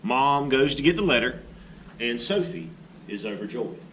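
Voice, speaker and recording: A man narrating a children's storybook in an expressive read-aloud style, as a teacher would. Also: mid-range voice, moderate pace, background noise. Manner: expressive, animated delivery. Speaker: art teacher reading a children's book aloud.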